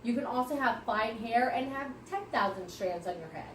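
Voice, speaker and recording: A woman's voice: a little high, animated, normal speed, recorded from a distance, slight background noise. Confident woman explaining in an echoey room. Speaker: podcast co-host, hairstylist. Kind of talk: casual chat.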